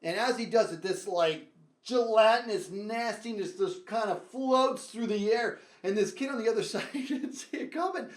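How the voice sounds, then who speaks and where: A man's voice: high-pitched, moderate pace. A man hosting a comedic storytelling show, talking straight to viewers in a theatrical, exaggerated, emphatic way and repeating phrases. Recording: roomy echo.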